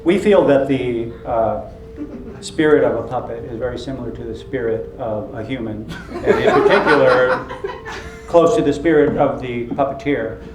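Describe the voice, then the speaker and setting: A man's voice: mid-range voice, natural voice. Man playing a self-serious puppeteer, echoey room. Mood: solemn, earnest. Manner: earnest, self-serious, halting. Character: proud, sincere male puppeteer, fussy about his craft. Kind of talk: live comedy sketch, mock question-and-answer. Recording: echoey room, clearly noisy live recording.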